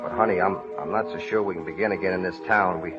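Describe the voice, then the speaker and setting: An actor in a 1930s radio drama playing an earnest, rough-spoken small-town man, talking in a folksy, halting way. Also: sits low, steady tone, lightly acted. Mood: tender, hopeful. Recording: muffled vintage 1930s radio recording, music behind.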